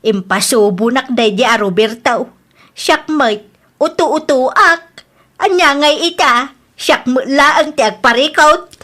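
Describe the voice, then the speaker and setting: Woman acting a high, bright, plaintive lovelorn mother. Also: slow, heavily acted. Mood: ashamed, sorrowful. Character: lovelorn, apologetic widowed mother of grown children. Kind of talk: scripted audio drama.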